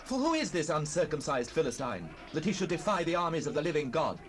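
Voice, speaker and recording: Bold, high-voiced shepherd youth. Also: male voice, sing-song, fast talker, faint music behind. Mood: indignant. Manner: earnest, fervent, declamatory. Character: bold, indignant young shepherd youth, male, full of faith. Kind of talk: dramatized Bible audio acting. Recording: faintly noisy cassette rip.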